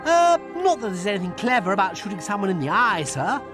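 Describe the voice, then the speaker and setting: Eager, animated deferential soldier. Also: male voice, sits high, quick pace, over music. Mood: flustered. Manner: gushing, deferential, earnest. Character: eager, deferential male former soldier, nostalgic hero. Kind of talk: video game character acting.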